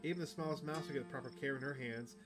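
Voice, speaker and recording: A man's voice: medium pitch, rapid-fire, over loud music, lightly acted. A man voicing a chatty, upbeat outdoorsy woman who hunts cryptids, reading visual novel dialogue aloud. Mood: chatty, fond.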